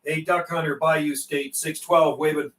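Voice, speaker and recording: A man's voice: on the high side, level tone, measured pace, fairly bright tone, distant mic, slight background noise. A man calling out on CB radio and chatting casually, repeating his call-outs in radio slang. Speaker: CB radio operator, livestream host.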